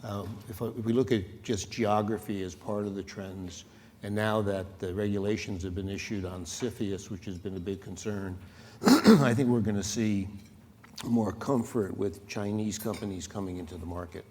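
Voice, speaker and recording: A man who is a venture investor, speaking on a conference panel in a measured, steady way. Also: fairly low pitch. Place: conference hall. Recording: reverberant hall with faint noise.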